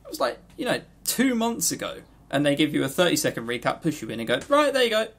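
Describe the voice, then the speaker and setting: A man's voice: a little high, very animated, quick pace, gravelly, fairly bright tone. A man co-hosting a podcast, talking casually and informally, with opinionated but hesitant delivery. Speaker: podcast co-host. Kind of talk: casual game review chat.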